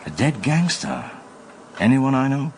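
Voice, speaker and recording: A man's voice: medium pitch, lively intonation, measured pace, gravelly, a little mellow, slight background noise. A man with a British accent playing a knowing, chummy ally of detectives in a radio drama, familiar and theatrical.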